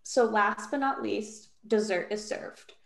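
A woman's voice: mid-range voice, slow, gravelly, reverberant, crowd noise. A woman co-host running a playful quiz segment over a video call, giggling as she talks. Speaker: podcast co-host. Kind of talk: casual quiz chat.

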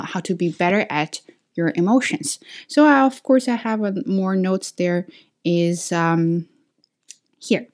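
A woman hosts a relaxed solo podcast, speaking English as a second language. Her voice sits a little low, and she thinks out loud with frequent fillers and self-corrections. The sound is picked up by her camera's microphone. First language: not English. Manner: thinking aloud, many fillers and restarts. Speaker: podcast host and teacher. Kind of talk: casual solo podcast talk. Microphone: camera mic.

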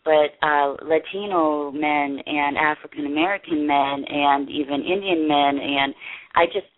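Interview guest, woman, deep register, candid and halting, phone-quality audio. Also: fairly even intonation. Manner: earnest, halting, many restarts. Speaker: interview guest, production company head. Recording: tinny phone line.

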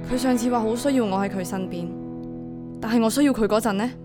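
A woman's voice: fairly high pitch, fast, over music, natural voice. An actress playing a devoted, vulnerable young single mother in a Hong Kong Cantonese audio drama, speaking in a heartfelt way. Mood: troubled, reflective. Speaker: voice actor. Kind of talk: audio drama acting with first-person narration.

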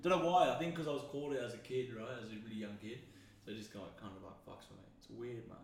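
A young man hosts a casual podcast, rambling through stories and swearing freely. His voice sits at an ordinary pitch. The room has a noticeable echo. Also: racing, gravelly, slight background noise. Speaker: podcast host. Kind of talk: casual chat. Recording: echoey room.

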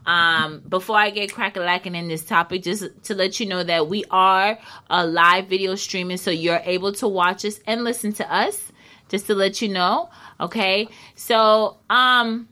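A woman's voice: mid-range voice, moderate pace, bright, forceful tone. A woman in her thirties hosting a casual internet radio talk show, playful and chatty, speaking into a studio microphone. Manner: playful, hypes listeners, restarts and fillers.

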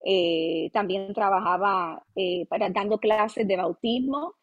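A woman's voice: medium pitch, slow pace, husky, lo-fi sound. A woman in her late thirties from Puerto Rico, with a Puerto Rican Spanish accent, speaking as a pastor and interview guest, earnest and humble, with frequent "eh" fillers. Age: 30s. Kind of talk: podcast interview.

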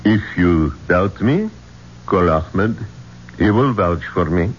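An actor playing a formal, probing police inspector in a 1970s radio drama, questioning in a measured way. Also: male voice, deep voice, expressive. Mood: official. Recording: dull, noisy 1970s radio recording.

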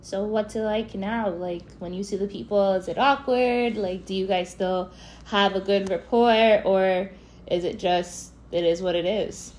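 A woman hosting a podcast, her voice hoarse from losing it, talking in a hyped, slangy way straight to her listeners. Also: medium pitch, fairly even intonation, a little slow, a little mellow, slight background noise. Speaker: podcast host. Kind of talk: casual podcast chat.

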